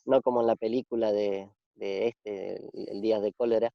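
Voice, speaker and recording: A man in his forties from Argentina, with an Argentine Spanish accent, talking reflectively in a casual interview, pausing and restarting often. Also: lowish voice, steady tone, creaky, no room sound. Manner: reflective, halting with many restarts and fillers. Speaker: interview guest. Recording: dull, dry lo-fi sound.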